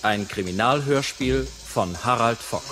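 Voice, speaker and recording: A man's voice: medium pitch, unhurried. A man announcing a radio play title from a script, with drum music behind him. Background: loud drum music.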